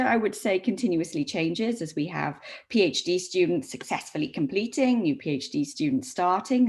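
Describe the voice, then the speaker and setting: A woman in her forties, raised in Saudi Arabia, with a Welsh accent, giving a measured academic talk with frequent ums and repeated words. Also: sits low. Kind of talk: recorded academic presentation.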